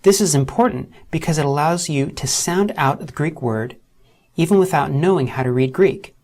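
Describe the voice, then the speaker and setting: Steady man narrating a software tutorial. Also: fairly high pitch. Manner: steady, methodical walkthrough, slows to spell out terms. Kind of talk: scripted screen-recorded tutorial narration.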